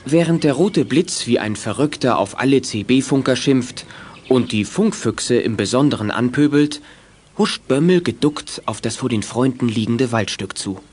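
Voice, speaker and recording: A man narrating a scripted children's radio play in a clearly phrased storytelling manner. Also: medium pitch, expressive, normal speed, darkish tone, slight background noise.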